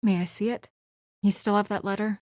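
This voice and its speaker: An actress as a serious young woman investigator in a noir fantasy game. She is restrained and businesslike, with a dry edge, in a medium-pitched voice. The recording is close and free of room sound. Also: steady tone, a little fast, a little mellow, no echo, natural voice. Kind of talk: video game voice acting, naturalistic dramatic dialogue.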